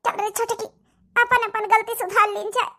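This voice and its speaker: A performer voicing a melodramatic, remorseful village daughter-in-law in an Awadhi cartoon comedy, with a squeaky, thin voice and pleading delivery. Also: flat delivery, moderate pace, bright, forceful tone. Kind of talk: dramatic comedy cartoon dubbing.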